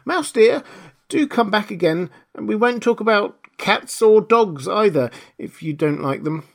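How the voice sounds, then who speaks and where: A man's voice: on the high side, big pitch swings, normal speed, vocal fry, pitched above the performer's own voice, exaggerated voice. A man voicing a polite, chatty little girl in a storybook, earnest, eager and a bit dreamy. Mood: soft coaxing. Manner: eager, apologetic, musing. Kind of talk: storybook character voice.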